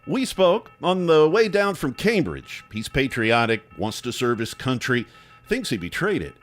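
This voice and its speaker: An actor playing a driven, forceful government boss in an audio drama. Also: male voice, medium pitch, big pitch swings, measured pace, faint music behind. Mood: insistent, scheming. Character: intense, driven male government boss under suspicion.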